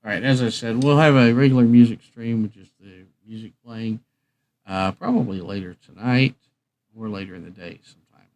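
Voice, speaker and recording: A man's voice: medium pitch, normal speed, a little mellow. A man hosting a casual livestream, rambling and thinking aloud in a hesitant way. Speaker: livestream host.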